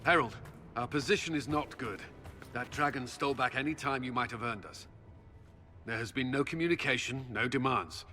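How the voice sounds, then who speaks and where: A stern military commander, played by a man, barks clipped orders in a bright, forceful voice. His grim words trail off into a long, echoing hall reverb. He is a leader in a video game cutscene. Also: medium pitch, normal speed, soft background music, close to an everyday voice.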